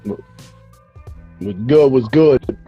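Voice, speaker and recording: A man's voice: mid-range voice, expressive, slow pace, husky, muffled recording, faint music behind. A man hosting a casual hip-hop interview show over a video call, tired and losing his voice, talking in a laid-back, slangy way with plenty of fillers and cursing. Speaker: show host.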